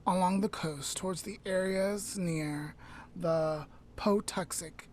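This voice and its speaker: A woman with a mid-range voice tells a story at an unhurried pace to her podcast co-host. She lets her lines trail off into a soft near-whisper. Between the story beats she adds chatty asides and corrects herself. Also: mid-range voice, a little hiss or hum.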